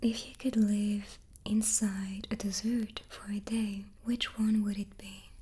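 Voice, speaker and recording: A woman's voice: medium pitch, little pitch movement, slow pace, breathy edge. A woman hosting a whispered ASMR chat, answering questions while snacking on cookies close to the microphone. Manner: soft unvoiced whisper throughout. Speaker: ASMR host.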